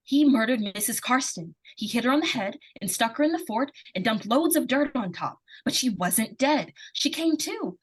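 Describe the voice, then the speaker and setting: A woman's voice: fairly high pitch, animated, normal speed, hardly any pauses, slightly rough, rough recording. A woman playing a plucky, anxious, insistent little girl in an old-time radio suspense play. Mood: urgent, alarmed.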